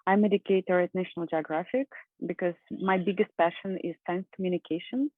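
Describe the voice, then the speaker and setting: A woman from Russia in her thirties giving an earnest conference talk over a video call, with frequent fillers and soft laughs. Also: fairly low pitch, steady tone, a little fast, no room sound. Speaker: conference speaker. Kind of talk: presentation talk. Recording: phone-like dry online call.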